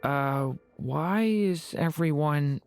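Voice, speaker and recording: A woman voicing a gentle, naive young dragon boy in a video game, earnest and a little hesitant. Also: low voice, extremely slow, faint music behind, heavily acted. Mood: uneasy.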